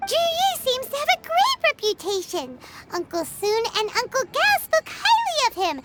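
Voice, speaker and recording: A woman's voice: very high-pitched, huge pitch swings, moderate pace, heavy background noise, exaggerated voice. A woman voicing a small, blunt, skeptical childlike companion sprite in a video game. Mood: puzzled. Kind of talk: video game character dialogue.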